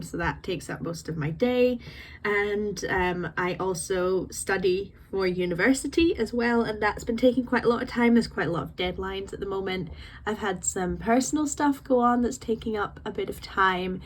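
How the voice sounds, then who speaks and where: A woman's voice: lowish voice, slight background noise. A young woman hosting her own podcast, chatting in a rambling, unscripted way with frequent laughs, a bit sniffly from a cold. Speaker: podcast host. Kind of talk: casual unscripted chat.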